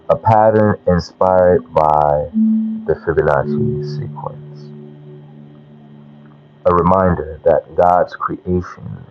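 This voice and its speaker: A man narrating a guided meditation in a measured, soothing way, with long pauses between short phrases. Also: sits low. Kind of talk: slow spoken guided meditation. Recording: dull lo-fi sound, music underneath. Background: meditation music.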